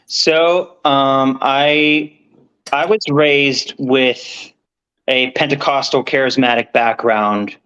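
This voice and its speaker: A man's voice: mid-range voice, fairly even intonation, slow, fairly bright tone, smooth, lo-fi sound. A man as the guest in a casual video-call conversation, speaking in an easygoing way.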